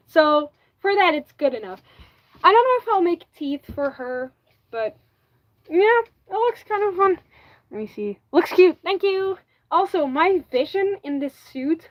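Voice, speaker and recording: A woman with a Flemish accent, native Dutch speaker, chatting casually on a livestream and often correcting herself mid-sentence. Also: high voice. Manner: chatty, restarts and self-corrects, frequent "like". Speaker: livestreamer making a fursuit.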